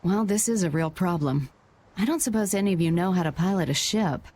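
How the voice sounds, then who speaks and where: Composed, expressive merchant leader. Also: female voice, on the low side, brisk. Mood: businesslike concern. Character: composed, businesslike adult woman merchant leader. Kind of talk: English video game dub acting.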